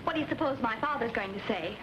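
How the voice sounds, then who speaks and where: A woman's voice: fairly high pitch, expressive, quick pace, natural voice. A woman playing a defiant, indignant young woman in an old western film, speaking curtly and stubbornly. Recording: noisy, muffled old film soundtrack.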